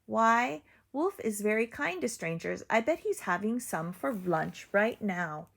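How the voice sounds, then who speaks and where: A woman's voice: medium pitch, big pitch swings, a little slow, vocal fry, a bit bright, full character voice. A woman voicing a sly, grinning spider who gives sneaky advice.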